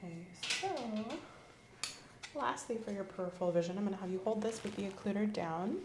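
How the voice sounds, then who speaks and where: A woman speaking softly and slowly as a clinician in an ASMR roleplay exam, often dropping to a whisper. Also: medium pitch, reverberant, very noisy. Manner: slow, gentle, instructive, often whispering. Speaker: ASMR creator playing an examining clinician. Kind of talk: soft-spoken roleplay physical exam.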